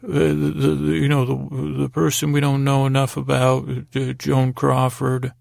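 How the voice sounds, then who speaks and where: Gravelly, creaky man rambling soothingly. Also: medium pitch, monotone, darkish tone, no echo. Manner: meandering, halting, with pregnant pauses; lulling, soothing. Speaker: podcast host. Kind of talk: rambling bedtime podcast monologue.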